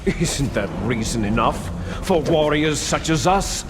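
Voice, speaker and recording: Game villain: proud warmonger, grandiose and taunting, slow delivery over noticeable noise. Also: male voice, a little high, expressive. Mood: grandiose, impassioned. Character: bitter, theatrical male soldier villain, proud warmonger. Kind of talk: dramatic video game acting.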